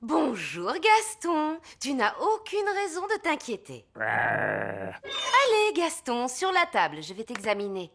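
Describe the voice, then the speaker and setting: Kindly cartoon vet mum: fairly high, sing-song lively, reassuring, music behind. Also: female voice, takes it slowly, creaky, exaggerated voice. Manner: coaxing, reassuring. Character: kindly, reassuring mother who is a veterinarian. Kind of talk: French cartoon dub acting.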